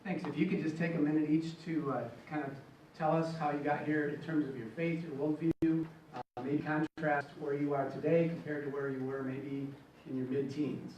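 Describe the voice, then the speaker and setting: Man, panel moderator, slightly high voice, hesitant, far from mic, echoey hall. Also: level tone, moderate pace, a little mellow, dull audio, slight background noise. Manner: hesitant, many fillers. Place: event hall.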